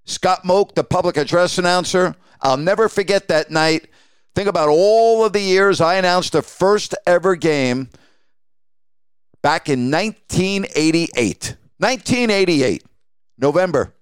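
A man's voice: high voice, animated, measured pace, smooth, no room sound, clean studio sound. A man hosting a podcast, talking reflectively on his own in the style of a sports announcer reminiscing. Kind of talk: reflective solo podcast talk.

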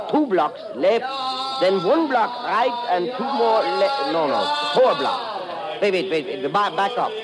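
Flustered high-voiced cafe owner. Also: male voice, exaggerated voice, poor audio. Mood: muddled, flustered. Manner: muddled, repetitive, stammering. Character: flustered, excitable male European cafe owner. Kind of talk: 1950s radio drama character acting. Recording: vintage radio recording with background chatter.

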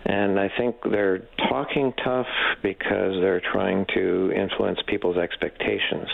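Male expert guest, deep creaky voice, measured and hesitant delivery, slightly muffled radio sound. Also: fairly even intonation, no room sound. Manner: measured, halting, hesitant phrasing. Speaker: economics professor, interview guest. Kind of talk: expert commentary in a radio interview.

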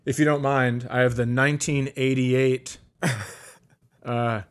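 A man's voice: medium pitch, little pitch movement, slow pace. A man co-hosting a comedy podcast in casual banter, with an incredulous, joking manner.

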